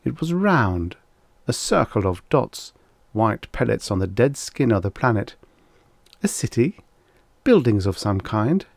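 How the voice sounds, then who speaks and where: A man narrating a vintage science fiction story as an audiobook, with measured, deliberate delivery. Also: fairly low pitch, big pitch swings, creaky, dry recording.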